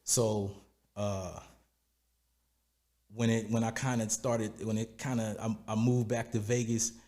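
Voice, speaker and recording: A raspy-voiced man, a music producer and singer, telling stories in a relaxed, rambling way in a podcast interview. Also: lowish voice, slow pace. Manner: relaxed, rambling, repeats "you know what I'm saying". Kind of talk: casual interview storytelling.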